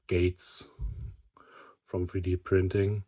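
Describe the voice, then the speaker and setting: Slow-talking man with a low voice. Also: completely flat delivery, dark tone, slightly rough. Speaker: hobby video reviewer. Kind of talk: unscripted product review to camera.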